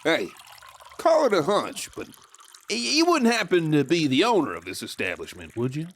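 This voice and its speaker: An actor playing a cocky, swindling Old West outlaw who talks with folksy swagger in an audio drama. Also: male voice, a little high, huge pitch swings, moderate pace, heavily acted. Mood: flustered. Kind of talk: audio drama narration and dialogue.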